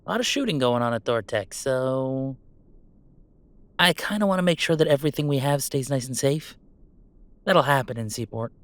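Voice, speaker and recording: A man's voice: medium pitch, moderate pace, pauses often, no room sound, close to an everyday voice. A man playing a chatty, affectionate, well-off gamer in a roleplay audio drama, talking casually and reassuringly in a rambling, wry way. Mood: practical, reassuring. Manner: casual, rambling, self-interrupting, wryly reassuring. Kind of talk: intimate roleplay audio acting, one-sided conversation.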